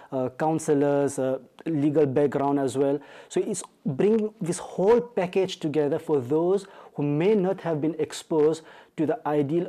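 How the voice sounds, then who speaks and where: A man from Mauritius with a Mauritian accent, a marital counselor speaking as a guest on a talk show, measured with frequent hesitations and restarts. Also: fairly high pitch, dark tone. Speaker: marital counselor and Islamic studies teacher.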